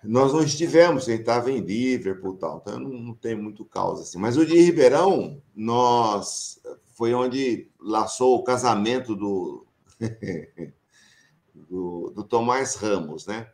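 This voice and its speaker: Animated Brazilian man telling stories. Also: lowish voice, measured pace. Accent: Brazilian Portuguese, São Paulo countryside. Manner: lively storyteller, laughs often, acts out quoted dialogue. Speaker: professor and association founder. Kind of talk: casual anecdotal reminiscing.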